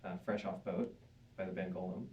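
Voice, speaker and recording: A man's voice: sits low, level tone, fairly quick. A man at a live panel telling a story in a chatty, upbeat way with frequent fillers. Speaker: panel guest. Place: synagogue hall, live audience. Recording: dull distant sound with faint room noise.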